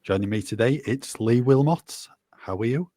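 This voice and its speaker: A man's voice: mid-range voice, normal speed, dry recording, clean studio sound. A man with an informative manner, hosting a casual football podcast. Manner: steady, informative, leads the talk. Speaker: podcast host.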